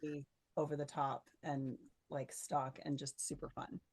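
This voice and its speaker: A woman co-hosting a podcast in a casual, joking, animated way. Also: low voice, moderate pace, dry recording. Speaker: podcast co-host, voice actor.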